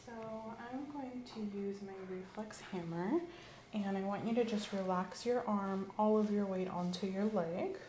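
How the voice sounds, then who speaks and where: A woman hosting an ASMR physical exam roleplay, giving calm, step-by-step instructions in a soft voice. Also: medium pitch, a little slow. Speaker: ASMR host performing an exam. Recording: roomy with faint hiss.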